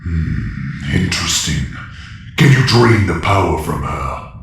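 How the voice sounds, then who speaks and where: Gravelly, echoing space warlord. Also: male voice, expressive, slow, a little hiss or hum, exaggerated voice. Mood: cold, demanding. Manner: commanding, threatening. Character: imperious male galactic warlord, power-hungry and menacing. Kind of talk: audio drama acting. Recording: heavy echo, faint rumbling ambience.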